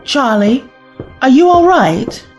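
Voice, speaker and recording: A woman's voice: sits high, sing-song, takes it slowly, a little mellow, full character voice. A woman playing a kind, reassuring young time-travelling companion in an audio drama. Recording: music under the voice.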